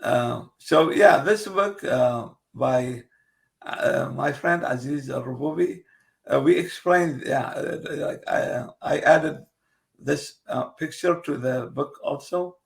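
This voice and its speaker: Male guest, Kurdish first language, unhurried and hesitant, slightly high gravelly voice. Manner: halting, many pauses and fillers. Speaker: guest, translator. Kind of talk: casual interview conversation.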